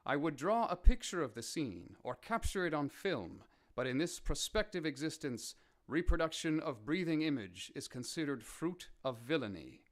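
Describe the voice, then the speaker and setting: A man's voice: a little high, sing-song, moderate pace, few pauses. A man acting as a solemn, theatrical narrator introducing a radio drama with poetic scripted narration. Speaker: announcer narrator.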